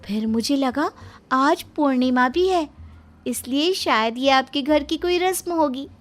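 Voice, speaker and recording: A woman's voice: high-pitched, measured pace, soft background music, pitched above the performer's own voice. A woman playing an innocent, obedient young daughter-in-law in a moral story, speaking respectfully and earnestly.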